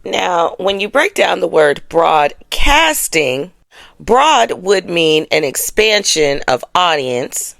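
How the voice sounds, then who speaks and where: A woman's voice: lowish voice, big pitch swings, takes it slowly, gravelly, a bit bright, no echo. An American woman giving casual astrology commentary as a show host, speaking in a colloquial, slangy, emphatic way and often checking in with listeners.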